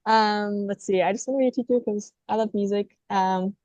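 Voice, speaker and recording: Young woman with a light Arkansas accent on a dry video call, mid-pitched, easygoing and quick to laugh. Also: fairly even intonation, a little mellow, no room sound. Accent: light Arkansas Southern. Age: 20s. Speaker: music education student. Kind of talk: casual self-introduction on a video call.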